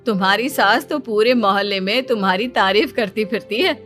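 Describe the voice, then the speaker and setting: A friendly neighbour woman as a female narrator plays her in a cartoon tale. She chats in a mid-pitched, reassuring way over faint music. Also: moderate pace, a bit bright, close to an everyday voice. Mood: surprised, reassuring. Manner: friendly, conversational. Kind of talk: cartoon story dialogue.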